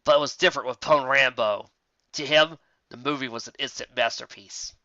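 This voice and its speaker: An expressive narrator reads a story aloud, dropping in casual asides. The voice is bright and mid-pitched, recorded very dry with a slightly muffled, lo-fi sound. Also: brisk, no room sound. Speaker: narrator reading fan fiction aloud.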